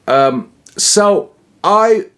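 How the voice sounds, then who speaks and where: A man's voice: high-pitched, lively intonation, drawn-out words, a bit bright. Man, 60s, from Utah. Rambling vlogger-storyteller, self-interrupting, chuckly, slangy. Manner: tosses in slangy asides.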